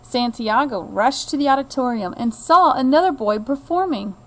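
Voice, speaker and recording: A woman reading a children's picture book aloud in an expressive, deliberate way, like a teacher to a child. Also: high voice, low-quality recording. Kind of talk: children's storybook read-aloud.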